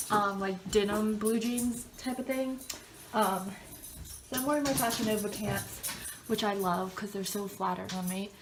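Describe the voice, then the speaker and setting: Chatty congested young woman vlogging. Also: mid-range voice. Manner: chatty and rambling, many ums and likes. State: stuffy and congested. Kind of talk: casual talk to camera while getting ready. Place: home bathroom. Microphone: phone camera.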